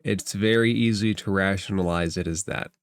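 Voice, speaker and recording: A man's voice: deep voice, steady tone, normal speed. A man running an improvised tabletop roleplaying game as game master, talking in an informal, conversational way.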